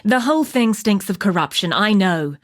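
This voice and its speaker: An actress playing a tough, wry wilderness huntress in a video game, speaking in a measured, dry, matter-of-fact way as she hands out hunting quests. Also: mid-range voice, expressive, lightly acted. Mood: confiding, instructive. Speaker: game character voice actor.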